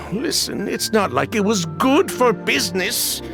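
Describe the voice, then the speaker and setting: A man's voice: high voice, very animated, takes it slowly, creaky, over loud music, heavily acted. An actor playing an evasive, guilty male perfume businessman in a video game, stammering and defensive as he explains himself. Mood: justifying.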